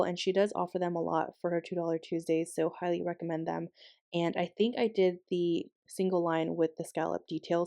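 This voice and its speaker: A young woman talks casually in a lowish, slightly hoarse voice, as she is losing it. She rambles chattily with plenty of ums and likes. The sound is close and dry, recorded in a bedroom. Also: a little mellow, no echo. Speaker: planner channel host.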